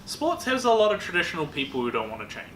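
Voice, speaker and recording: Bright, enthusiastic New man. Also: on the high side, sing-song, fast talker. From New Zealand. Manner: enthusiastic, rambling with many ums. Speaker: podcast co-host. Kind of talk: casual chat. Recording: echoey room with faint noise.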